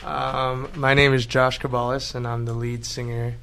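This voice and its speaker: A man's voice: mid-range voice, level tone, moderate pace, vocal fry, sharp tone, a little hiss or hum. Hesitant young man answering questions. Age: 20s. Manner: hesitant, with many ums and laughs. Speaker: band singer guest. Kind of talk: casual interview answers.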